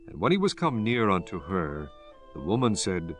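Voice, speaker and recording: A man narrating scripture from a script in a measured, solemn manner. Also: low voice, big pitch swings, faint music behind.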